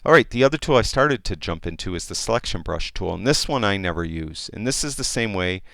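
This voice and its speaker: A man explaining a photo editing program in a casual, rambling tutorial, with many fillers and restarts, and a voice that is getting hoarse. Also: lowish voice, expressive, brisk, fairly bright tone, no room sound, studio quality. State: losing his voice. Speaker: software tutorial instructor. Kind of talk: casual screen-recorded tutorial.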